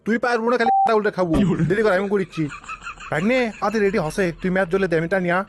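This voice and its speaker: A man's voice: high voice, rapid-fire, noisy background, natural voice. A man voicing a boastful, grumbling small-town stoner uncle in a comic cartoon, with a northern Bangladeshi accent. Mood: bantering, fussy. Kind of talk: comic cartoon dialogue in rural dialect.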